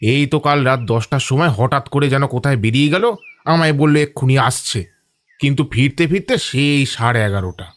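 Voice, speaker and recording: A man's voice: mid-range voice, moderate pace, close to an everyday voice. A man playing a chatty household servant in an audio drama, talking in a colloquial, complaining way. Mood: grumbling, gossipy. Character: chatty, grumbling male household servant, familiar and folksy. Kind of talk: Bengali audio drama acting.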